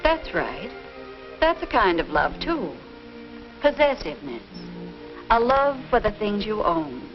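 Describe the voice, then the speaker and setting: An actress playing a patient, gently explanatory middle-aged American mother advising her teenage daughter in a 1950s instructional film. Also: medium pitch, sing-song, a little slow. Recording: dull vintage film soundtrack with music.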